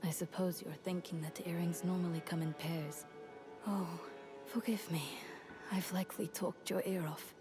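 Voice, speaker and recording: An actress playing a gentle, reserved female warrior in a fantasy video game, speaking softly and politely with some breathiness. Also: fairly low pitch, steady tone, normal speed, natural voice. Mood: soft, earnest. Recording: game music behind the voice.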